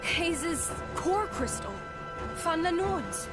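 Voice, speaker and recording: Young game heroine, super high voice, slow n intense, music behind. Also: female voice, sing-song, full character voice. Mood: tense, alarmed. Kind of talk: dramatic video game voice acting.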